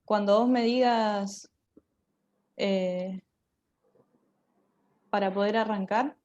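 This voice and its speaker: A woman with an Argentine accent and a soft, dark-toned voice gives an informal online presentation. She speaks haltingly, searching for words as she goes. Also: medium pitch, steady tone, lo-fi sound. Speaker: theatre group member giving a talk.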